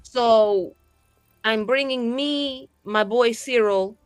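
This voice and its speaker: Woman playing a sassy, vivacious, slow-drawn flirty adventuress. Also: fairly high pitch, smooth, no echo, soft background music, pitched above the performer's own voice, low-quality recording. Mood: scheming, casual. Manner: rambling, sassy, theatrical, casual modern slang. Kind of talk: improvised tabletop roleplay acting.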